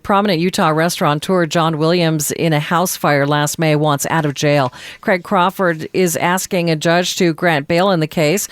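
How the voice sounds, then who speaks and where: A woman's voice: low voice, no room sound. An American woman reading news and handoffs as a radio anchor. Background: music.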